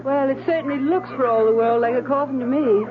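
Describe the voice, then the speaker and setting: An actress playing an observant, suspicious wife in a vintage radio drama, with a probing, pointed, theatrical manner. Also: female voice, high voice, quick pace, crowd noise, lightly acted. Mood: curious. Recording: tinny vintage radio recording, music behind.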